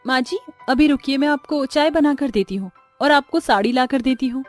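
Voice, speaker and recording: A woman voicing a dutiful, hurried young daughter-in-law in a cartoon family story, obliging in manner. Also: high voice, faint music behind, natural voice. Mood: obliging, harried.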